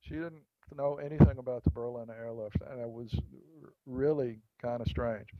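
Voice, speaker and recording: A man, an author, being interviewed, telling an anecdote in a reflective way with pauses and restarts. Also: mid-range voice, steady tone, dry recording, phone-quality sound. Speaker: author guest. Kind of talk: interview storytelling.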